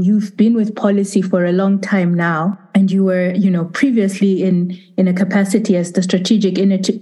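Mellow-voiced woman hosting a podcast. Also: on the low side. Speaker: podcast host and interviewer. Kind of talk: conversational podcast interview.

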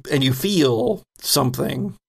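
Male game master, creaky mid-pitched voice, descriptive improvised horror narration at the table. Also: lively intonation, takes it slowly.

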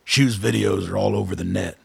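An actor in a radio drama playing a gruff, suspicious older colonel with a gravelly, husky voice and a blunt manner. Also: male voice, fairly low pitch, steady tone, brisk, dry recording, exaggerated voice. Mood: skeptical. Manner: blunt, skeptical, swearing. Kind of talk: naturalistic radio drama acting.

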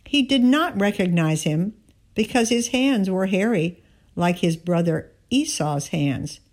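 A woman giving a scripted Bible reading, narrating and voicing the dialogue in deliberate, measured phrasing. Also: mid-range voice, animated, darkish tone. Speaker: scripture reader.

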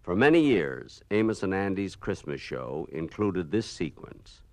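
Low, animated male narrator. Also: unhurried, dry recording. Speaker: radio documentary narrator. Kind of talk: scripted, emphatic narration.

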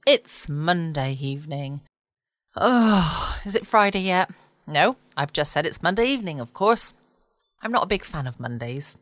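A woman's voice: fairly low pitch, fairly bright tone, no echo, clean studio sound. A young English woman hosting a cozy late-night podcast from home, chatty and soothing. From England. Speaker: podcast host.

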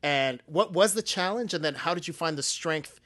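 A man's voice: on the high side, moderate pace, a bit bright. A man co-hosting a podcast, thoughtfully framing a question and restarting his sentences as he goes. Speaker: podcast co-host.

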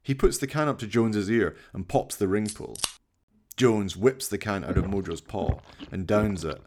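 A man's voice: fairly low pitch, normal speed, darkish tone. A man narrating a radio play from a script, describing the action in the present tense.

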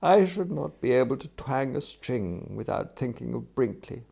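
A man voicing an excitable young upper-class English gentleman in an audiobook, with a blustering, exclamatory, slangy manner. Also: mid-range voice, normal speed, vocal fry, slightly rough, voice pushed up, full character voice. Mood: solemn, resolute. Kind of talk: acted audiobook dialogue.

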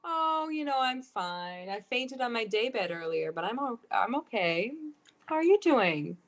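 A woman's voice: on the high side, big pitch swings, unhurried. A woman playing a languid, fragile lady in an improvised comedy scene, with airy understatement. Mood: feebly nonchalant.

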